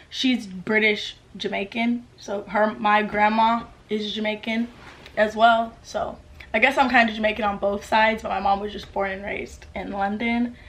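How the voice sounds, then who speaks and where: A young woman of nineteen from the US talks straight to camera, answering fan questions. Her voice sits at an ordinary middle pitch, and her chat is casual and bubbly, with plenty of "like". She sounds close to a camera mic in a room at home. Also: little pitch movement, moderate pace, a bit bright. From United States. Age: late teens. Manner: chatty, upbeat, lots of "like" fillers. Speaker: YouTuber. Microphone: camera mic with ring light.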